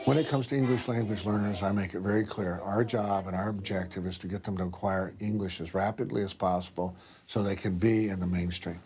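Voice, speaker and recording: A man's voice: deep voice, fairly even intonation, a little fast, a little mellow. A man, a school superintendent, explaining policy in an interview in a firm, emphatic way.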